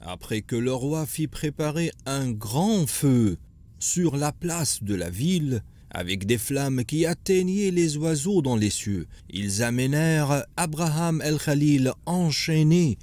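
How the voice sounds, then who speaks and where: A patient cartoon grandfather tells a sacred tale, acted by a man. He is solemn and measured, taking his time while his pitch rises and falls expressively. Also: expressive, darkish tone. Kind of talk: scripted cartoon storytelling.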